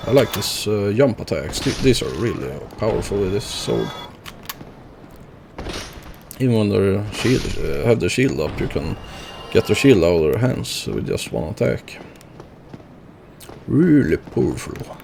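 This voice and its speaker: A man's voice: on the low side, big pitch swings, normal speed, creaky, noisy background. A man streaming a video game, chatting casually and rambling with lots of fillers as he plays. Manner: rambling, lots of fillers, chuckles at his own deaths. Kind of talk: casual gameplay commentary.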